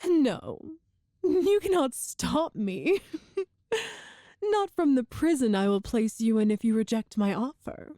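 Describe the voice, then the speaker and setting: Woman playing a slow, silky, regal evil empress. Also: fairly high pitch, expressive, warm tone, no room sound, clean studio sound. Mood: smug, menacing. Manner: regal, deliberate, teasing, formal diction. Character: cruel, seductive evil empress, proud and calculating. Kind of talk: scripted audio roleplay, ASMR-style monologue to the listener.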